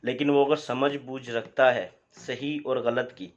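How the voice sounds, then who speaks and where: A man's voice: mid-range voice, fairly even intonation, unhurried. A man with a Pakistani accent gives an explanatory law lecture, teaching didactically and often asking questions that he answers himself. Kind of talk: explanatory lecture, mixing Urdu with English legal terms. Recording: dull, lo-fi sound.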